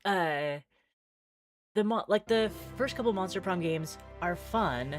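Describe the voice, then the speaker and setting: A woman game streamer chatting casually as she plays, halting and thinking aloud. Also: on the low side, faint music behind. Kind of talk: casual livestream chat while playing visual novels.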